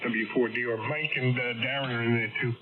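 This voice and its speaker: A man's voice: medium pitch, steady tone, a little fast, phone-quality sound. Man on a very noisy radio signal. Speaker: amateur radio operator. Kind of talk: casual ham radio chat. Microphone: heard over amateur radio.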